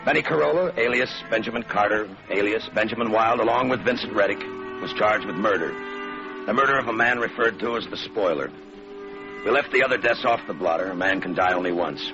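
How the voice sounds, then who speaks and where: Hardboiled American lieutenant played by a man, very low, terse, deadpan; muffled old-time radio sound with noise. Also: a little fast, over music, natural voice. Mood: dry, narrating. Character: laconic, hardboiled male police lieutenant. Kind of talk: 1940s radio detective acting and narration. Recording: muffled, noisy vintage radio recording.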